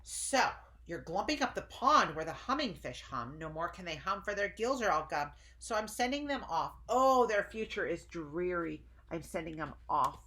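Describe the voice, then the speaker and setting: A woman voicing a small, scolding male forest creature, loud and indignant as she reads a storybook aloud. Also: lowish voice, sing-song, moderate pace, hardly any pauses.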